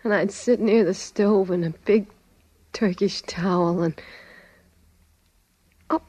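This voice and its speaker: An actress playing a dazed, anxious wife in a 1940s radio drama, slow and questioning, on a vintage recording. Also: female voice, mid-range voice, fairly even intonation, dark tone, breathy edge, dry recording. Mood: dazed, wistful. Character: dazed, anxious young-sounding wife after a truck accident.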